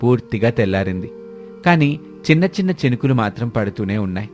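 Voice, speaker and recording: A man's voice: medium pitch, very animated, a little fast, faint music behind. A man narrating a scripted moral story as the storyteller. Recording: clear rain and engine noise behind.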